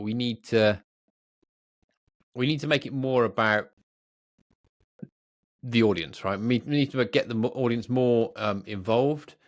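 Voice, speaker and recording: A man co-hosting a podcast, chatting casually in a jokey, teasing, animated way. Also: mid-range voice, no echo, studio quality. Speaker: podcast co-host. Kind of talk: casual banter between co-hosts.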